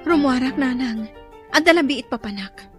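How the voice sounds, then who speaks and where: A woman's voice: sits high, expressive, normal speed, faint music behind. A woman acting a worried young daughter in a radio drama, earnest and pleading.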